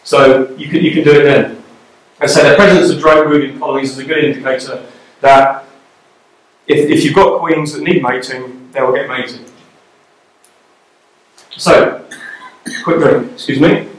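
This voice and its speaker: A man's voice: medium pitch, fairly even intonation, moderate pace, long pauses. Man lecturing in a very echoey room. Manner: conversational, checks in with "okay?" and "you know?". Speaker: beekeeping lecturer. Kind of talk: live presentation to a club. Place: darkened lecture room with a projected slide. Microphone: far camera at the back of the room. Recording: very echoey room, camera far off.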